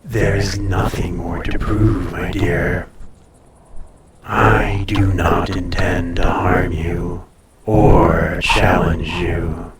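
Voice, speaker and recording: A man playing an ancient dragon familiar in an intimate roleplay audio, tender, possessive and teasing, soothing and often dropping to a breathy murmur. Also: low-pitched, steady tone, extremely slow, echoey room, distant mic, a crowd in the background. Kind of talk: intimate roleplay audio drama, personal attention.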